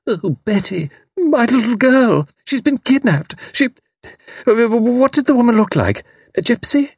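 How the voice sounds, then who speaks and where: Man voicing a frantic, high-pitched distraught mother. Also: lively intonation, brisk, vocal fry, husky, dry recording, studio quality, heavily acted. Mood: despairing.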